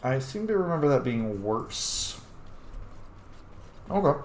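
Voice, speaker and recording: A man's voice: medium pitch, very animated, takes it slowly. Male gamer, casual playful commentary, mid voice, echoey noisy room. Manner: playful, talks to game characters. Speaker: gamer narrating a playthrough. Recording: echoey room, background noise.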